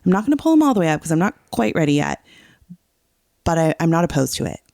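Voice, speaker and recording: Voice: mid-range voice, sing-song, fast, creaky, a little mellow, no room sound, studio quality. A podcast co-host chatting casually, explaining song lyrics and often checking that the listener follows. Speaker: teacher.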